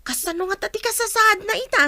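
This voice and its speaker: A woman's voice: very high-pitched, fast talker, sharp tone, no echo, studio quality, heavily acted. A woman with an Ilocano Filipino accent acting a village daughter trading gossip with her mother in a radio drama. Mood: curious, gossipy.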